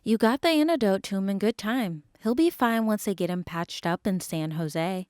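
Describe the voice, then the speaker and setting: An actress playing a brisk, caring park vet in an audio drama, matter-of-fact and instructive. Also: female voice, medium pitch, darkish tone, smooth, no echo, clean studio sound, close to an everyday voice. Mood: brisk concern.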